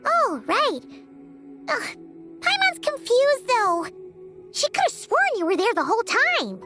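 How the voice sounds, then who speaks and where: Squeaky tiny female companion, very high, lively swoops, game score behind. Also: a little slow, exaggerated voice. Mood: excitable. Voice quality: squeaky, childlike. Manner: excitable, speaks of herself in third person. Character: tiny chirpy female floating companion creature. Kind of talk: video game voice acting. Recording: game audio with music underneath.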